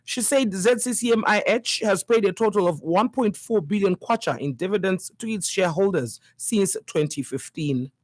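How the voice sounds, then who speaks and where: A woman's voice: mid-range voice, animated, normal speed, few pauses, a little mellow, dry recording. Zambian woman reading radio news, dry sound. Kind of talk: scripted news bulletin reading.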